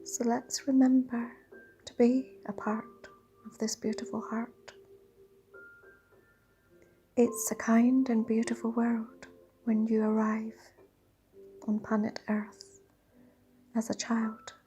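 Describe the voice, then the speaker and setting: A woman leading a guided meditation in a dreamy, soothing, half-whispered voice with drawn-out pauses. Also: on the high side, flat delivery. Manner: breathy, half-whispered delivery. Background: soft sound bath music.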